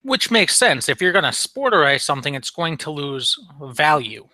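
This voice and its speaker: A man's voice: a little high, sing-song, normal speed, sharp tone, no room sound. A man chatting casually as a podcast co-host, rambling along with frequent ums and restarts. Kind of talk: casual chat about firearms.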